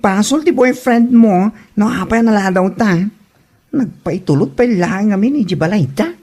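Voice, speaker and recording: Actress as husky, irritated young woman. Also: medium pitch, animated, normal speed, warm tone, lightly acted. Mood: annoyed. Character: irritated, distrustful young woman friend, outspoken complainer. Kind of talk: Ilocano comedy radio drama acting.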